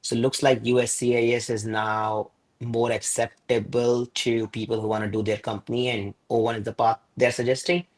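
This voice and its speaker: A man's voice: medium pitch, little pitch movement, moderate pace, rough recording. A man hosting a webinar interview on a video call, talking casually and hesitantly with many fillers. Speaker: host.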